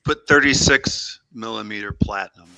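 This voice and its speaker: A man's voice: fairly low pitch, normal speed, vocal fry, sharp tone, rough recording. A man chatting casually on a livestream, offering helpful step-by-step suggestions.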